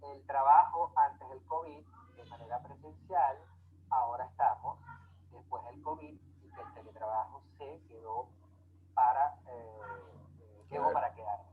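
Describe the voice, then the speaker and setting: A man from Venezuela, giving a measured online lecture with frequent hesitations. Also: mid-range voice. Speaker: lecturer on tax law. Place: home, remote online talk. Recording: tinny phone-like audio, faint noise.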